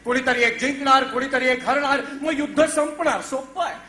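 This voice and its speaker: Man as jolly boastful veteran father, bright forceful voice, teasing bluster, theatrical comedy, echoey stage. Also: extremely high pitch, moderate pace, slight background noise. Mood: blustering, probing. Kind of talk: theatrical one-act stage comedy acting.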